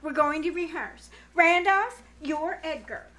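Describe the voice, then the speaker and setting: A woman's voice: high-pitched, unhurried, bright, forceful tone, a little hiss or hum. A woman playing a bossy, scheming teenage girl in old-time radio comedy style, emphatic and wheedling. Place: live on a small theater stage.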